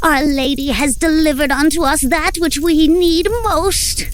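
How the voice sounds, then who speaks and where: A woman's voice: sits high, steady tone, measured pace, a little hiss or hum. A woman playing a scheming, devout witch priestess in an audio drama, with a grandiose, incantatory manner. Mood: excited, conspiratorial. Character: scheming, theatrical witch priestess, devoted to her goddess. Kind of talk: horror comedy audio drama.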